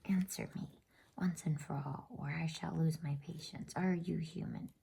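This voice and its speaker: A woman's voice: low-pitched, steady tone, moderate pace, warm tone, full character voice. Woman whispering as a cold, haughty witch queen. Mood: haughty, stern. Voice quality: whispered, husky. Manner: haughty, stern, commanding questions. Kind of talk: whispered bedtime story reading.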